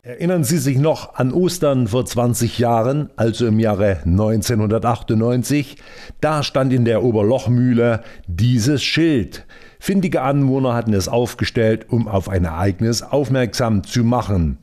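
Mellow male report narrator. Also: mid-range voice, unhurried.

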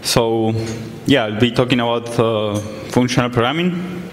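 A man's voice: medium pitch, normal speed, slight background noise. Young man speaking in an echoey hall. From Argentina. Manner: relaxed, plain explanations with frequent fillers. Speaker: conference speaker. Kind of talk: tech conference talk. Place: large conference hall. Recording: echoey hall, some distance from mic.

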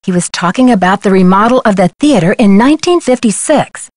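A woman's voice: medium pitch, lively intonation, fairly quick, a little mellow, no echo, studio quality, close to an everyday voice. A woman playing an earnest, inquisitive young amateur detective in a video game, speaking in an articulate way. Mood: earnest, curious. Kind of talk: video game dialogue acting.

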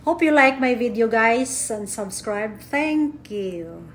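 A woman's voice: a little high, lively intonation, slow pace. A woman in her forties from the Philippines with a Filipino accent, chatting casually in a cooking vlog as she explains each step. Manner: chatty, explaining steps in simple English. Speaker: vlogger. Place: home kitchen and backyard grill. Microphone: phone camera held close. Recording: noisy phone recording with sizzling. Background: frying and sizzling.

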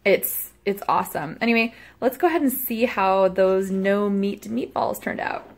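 A woman in her thirties chatting casually as she shows a recipe in her small home kitchen, self-deprecating and quick to laugh, recorded on a phone camera held close. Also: mid-range voice, a little mellow. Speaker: cooking vlogger. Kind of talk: casual cooking vlog chat.

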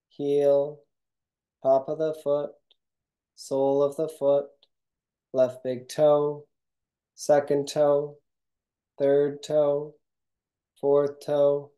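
Slow, very mellow meditation guide. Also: medium pitch. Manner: calm, measured, instructional. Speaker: yoga nidra instructor. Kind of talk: guided meditation, reading a script.

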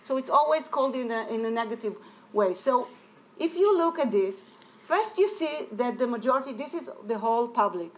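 A woman's voice: on the high side, rough recording. A middle-aged woman giving an academic lecture as a keynote speaker, explaining and often searching for words. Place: indoor lecture room at a podium.

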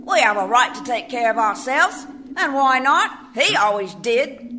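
An actress playing a pushy, indignant, scruffy laundress in a radio play. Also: female voice, on the high side, big pitch swings, measured pace, background noise.

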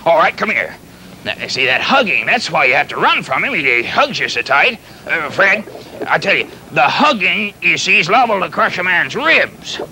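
A man playing a kindly, folksy pirate captain in a children's show, halting and stammering as he rambles and repeats himself. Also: fairly high pitch, big pitch swings, sharp tone, a little hiss or hum, exaggerated voice, rough recording. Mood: patiently coaching. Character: folksy, flustered, kindly pirate captain fond of his clumsy pet.

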